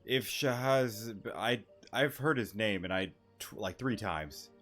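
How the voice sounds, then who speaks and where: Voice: mid-range voice, takes it slowly, fairly bright tone, faint music behind. A game streamer giving casual, informal commentary, reacting to a story as it is played.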